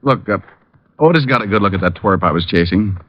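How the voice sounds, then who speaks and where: An actor playing a wry, stubborn private detective with an American accent, deadpan and wisecracking in 1940s radio drama style. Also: male voice, deep voice, a little fast, no echo. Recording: narrow-band old radio drama recording.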